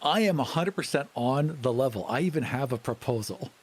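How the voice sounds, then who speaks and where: Casting: male gamer, mid-pitched and husky, lively casual banter and narration. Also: fairly quick. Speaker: tabletop role-playing player.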